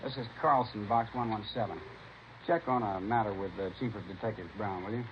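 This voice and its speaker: A man's voice: fairly low pitch, fairly quick, close to an everyday voice. A man playing a cautious, by-the-book patrolman in a vintage radio crime drama, official and procedural. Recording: dull vintage radio recording, faint noise.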